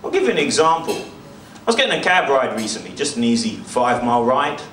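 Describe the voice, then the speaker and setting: A man's voice: mid-range voice, big pitch swings, a little fast, a bit bright. A young man telling stand-up stories to a live room. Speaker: stand-up comedian. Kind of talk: stand-up storytelling. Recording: echoey venue, distant mic, faint noise.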